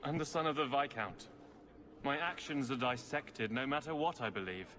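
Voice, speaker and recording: A man's voice: mid-range voice, moderate pace, sharp tone, close to an everyday voice. An actor playing a principled young nobleman in a fantasy game, speaking in an earnest, thoughtful, well-spoken way. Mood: earnest, defiant. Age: young adult. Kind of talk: video game cutscene acting. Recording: long hall reverb, faint ambient noise.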